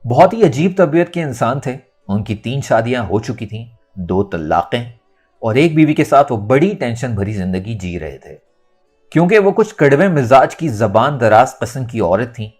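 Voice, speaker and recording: Expressive male audiobook narrator, lively pitch. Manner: expressive storytelling. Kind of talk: dramatic scripted novel narration.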